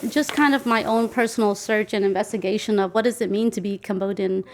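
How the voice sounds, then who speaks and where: A woman's voice: mid-range voice, fairly even intonation, fairly quick. A woman, talking casually and reflectively while she cooks. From Nashville, United States. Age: 40s. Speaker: home cook, interviewee. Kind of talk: casual storytelling while cooking. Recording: clicky, noisy kitchen field recording.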